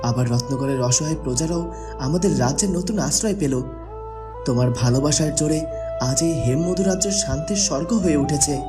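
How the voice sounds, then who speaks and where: A man's voice: a little high, normal speed, over music, close to an everyday voice. A man voicing an earnest, devoted young prince in a fairy-tale cartoon, speaking in a formal dramatic way. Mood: admiring.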